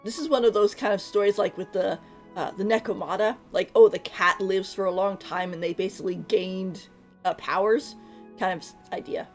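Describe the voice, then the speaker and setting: A woman's voice: medium pitch, steady tone, normal speed, soft background music. A woman streamer giving casual live commentary over a video game.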